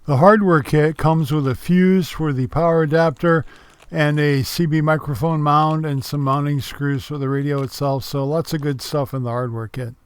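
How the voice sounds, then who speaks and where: A man's voice: on the high side, clean tone, no room sound. A man giving an informal, explanatory product review in a casual narrating style.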